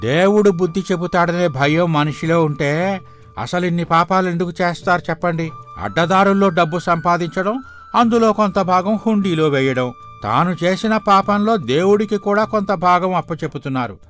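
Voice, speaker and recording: Man playing a high-voiced, gossipy village elder. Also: normal speed, fairly bright tone, faint music behind. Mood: sardonic. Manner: critical, sardonic, sympathetic to the poor. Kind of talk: dramatised moral story dialogue.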